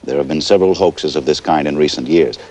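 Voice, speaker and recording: Deep-voiced, cautious male news anchor. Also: fast talker, mellow. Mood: cautious, sober. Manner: hesitant, cautious, sober. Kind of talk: live news bulletin in realistic drama.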